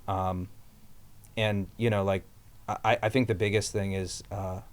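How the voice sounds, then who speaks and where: A man's voice: lowish voice, little pitch movement, unhurried. A man, a singer and guitarist guesting on a podcast, talking loosely with many fillers and restarts. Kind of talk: casual interview chat.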